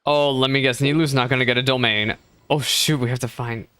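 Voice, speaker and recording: A man chats through a game as a streamer, expressive and prone to sudden outbursts. His voice is smooth and clean at a mid pitch. The sound is dry and close, with no room echo. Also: brisk. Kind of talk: casual live reaction commentary.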